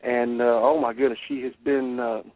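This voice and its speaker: A middle-aged man with a Kentucky accent hosting a radio show in a folksy, halting way. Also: medium pitch, little pitch movement, slight background noise. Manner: folksy, halting, laughs often. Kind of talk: casual radio interview. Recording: tinny phone-line radio audio.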